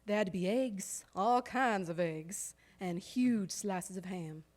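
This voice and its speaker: A woman's voice: medium pitch, takes it slowly, darkish tone, close to an everyday voice. A woman playing a harried, proud old-time household woman, telling a wry, emphatic theatrical monologue that lingers over its lists. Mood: relishing. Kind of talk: theatrical storytelling monologue on stage. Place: live stage performance in a recreation hall.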